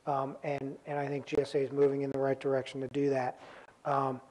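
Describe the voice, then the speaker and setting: A man moderating a conference panel, introducing the guests with many pauses, ums and restarts. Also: medium pitch, monotone, a little mellow, rough recording. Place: conference room with audience.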